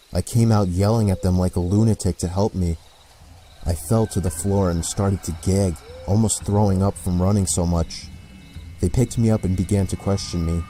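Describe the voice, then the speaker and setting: Low, dark-voiced man narrating horror. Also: a little hiss or hum. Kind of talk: scripted first-person horror narration. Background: background music.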